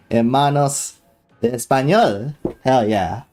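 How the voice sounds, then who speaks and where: Excitable young streamer man, slangy and loose. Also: medium pitch, slow pace, faint music behind. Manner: excitable, casual, full of fillers and slang; swears freely. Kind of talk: live gaming stream chatter.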